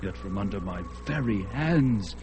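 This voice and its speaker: An actor playing a fierce young barbarian hero in a classic radio drama, with a bold, declamatory manner. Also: male voice, lowish voice, animated, unhurried, a little mellow, faint music behind. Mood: shaken. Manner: bold, declamatory, shifting to shaken murmurs.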